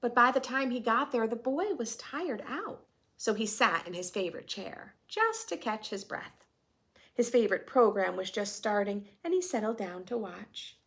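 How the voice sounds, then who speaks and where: A woman reads a picture book aloud for preschoolers. Her delivery is lively and widely varied in pitch, with some creak in the voice. She moves between expressive storytelling and chatty questions to the children. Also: moderate pace. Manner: expressive, questioning asides to young listeners. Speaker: children's story reader and host.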